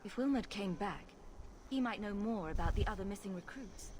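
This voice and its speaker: A woman voicing a calm adventurer heroine. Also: mid-range voice, moderate pace, slight background noise, lightly acted.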